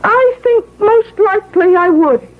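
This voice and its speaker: High-voiced, stubborn young wife. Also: female voice, measured pace. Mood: defiant. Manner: informal, expressive, doubting. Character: stubborn, unafraid wife of a crook, breezy and frank. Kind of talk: classic radio drama acting. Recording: tinny, noisy old radio recording.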